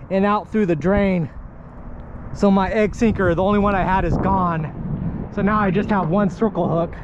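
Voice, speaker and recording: A high-voiced man narrates casually from a kayak, muttering short remarks to himself and to the fish he catches. The action-camera audio is dull and muffled. Wind and water noise run clearly underneath. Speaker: kayak fishing vlogger. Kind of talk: casual narration while fishing. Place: on a kayak outdoors on shallow water.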